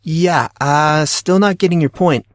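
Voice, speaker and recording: An earnest, stubborn teenage boy played by a male narrator in a storybook reading, pitched well up from a man's natural voice. He protests with baffled, halting incredulity, and the sound is close and dry. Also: dry recording, natural voice. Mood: confused. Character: exasperated, earnest teenage schoolboy, naive and stubborn.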